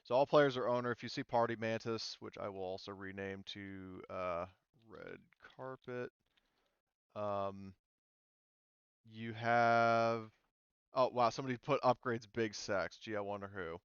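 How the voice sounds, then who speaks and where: A man running a tabletop roleplaying game, casually explaining rules in a halting, rambling, self-interrupting way. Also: lowish voice, clean tone, no echo, muffled recording. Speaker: game master.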